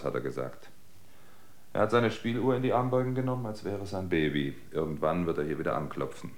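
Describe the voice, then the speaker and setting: An observant travelling reporter played by an actor in a radio drama. His voice sits low. He speaks in a measured, reflective way. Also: natural voice. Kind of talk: radio drama narration and dialogue.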